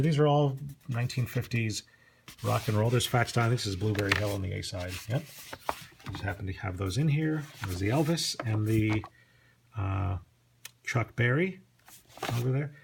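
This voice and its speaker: A man's voice: mid-range voice, fairly bright tone. A middle-aged man gives a casual, unscripted show-and-tell as a collector, chatty and prone to digressions. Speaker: record collector presenting collection. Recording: clear background noise, clicks.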